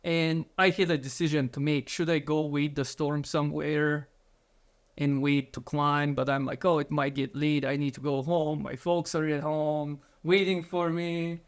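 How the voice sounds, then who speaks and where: A man's voice: on the high side, level tone, a little slow, clear, resonant tone. A man from Bulgaria, in his thirties, earnestly telling his story as an interview guest, with slightly phrasing.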